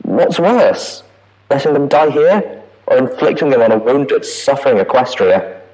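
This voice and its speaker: A man playing an anguished pony prison inspector recording an audio log, with a wavering, pleading, halting delivery. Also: mid-range voice, animated, warm tone, lightly acted, poor audio. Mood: torn, worried. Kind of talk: dramatic audio-log monologue.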